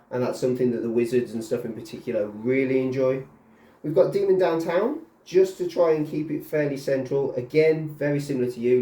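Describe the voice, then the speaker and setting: Mellow-voiced relaxed man. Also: mid-range voice. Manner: relaxed, hedging with "kind of". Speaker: guest player. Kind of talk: casual explanatory chat. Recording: roomy.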